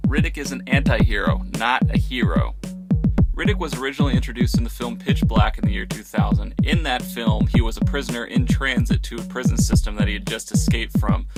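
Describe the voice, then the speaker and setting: A man's voice: medium pitch, a little fast. A man hosting a film video series, giving a semi-scripted explainer narration. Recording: loud music behind the voice. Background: loud music.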